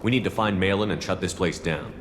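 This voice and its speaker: An actor playing a steady, principled male military commander in a video game, questioning in a direct way. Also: low voice, fast, music in the background, natural voice. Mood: businesslike. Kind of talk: video game character acting.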